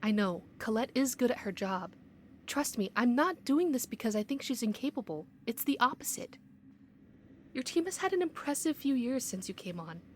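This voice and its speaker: A woman playing a stern, by-the-book city investigator in an audio roleplay, speaking in a measured, authoritative, admonishing way. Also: a little high, big pitch swings, a little hiss or hum.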